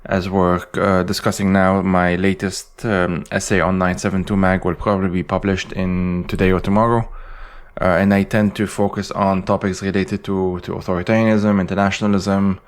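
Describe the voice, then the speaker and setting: A man's voice: low voice, flat delivery, moderate pace. A man from Lebanon, a historian giving interview answers in a thoughtful, hedging way with frequent restarts. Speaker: guest historian and essayist.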